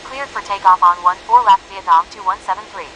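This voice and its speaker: A woman's voice: medium pitch, fairly quick, fairly bright tone, close to an everyday voice, poor audio. Woman doing clipped airport radio calls for a sim game, procedural, hissy plane noise behind her. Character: woman pilot or controller, brisk and procedural. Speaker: pilot and air traffic radio voice in a simulation game. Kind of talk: clipped aviation radio phraseology.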